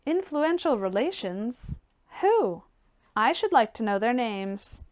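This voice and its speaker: A woman voicing a proud, stubborn young gentleman clerk, curt and formally sardonic, in an audiobook reading. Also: a little high, big pitch swings, takes it slowly. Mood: dismissive.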